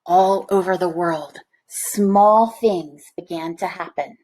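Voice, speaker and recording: A woman's voice: on the low side, takes it slowly, darkish tone, low-quality recording. A woman reading a children's picture book aloud, expressively, with chatty asides to young listeners. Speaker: storybook reader.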